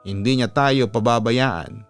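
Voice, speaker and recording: A man's voice: mid-range voice, unhurried, clear, resonant tone, no echo, soft background music, close to an everyday voice. A man voicing a solemn, devout priest who urgently calls for prayer against spirits in a horror story. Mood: solemn, urging.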